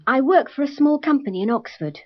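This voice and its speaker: An actress playing a nineteen-year-old female secretary who answers interview questions with clear, careful phrasing. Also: high-pitched, animated, brisk. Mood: answering. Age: young adult. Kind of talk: scripted course dialogue. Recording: dull lo-fi course tape.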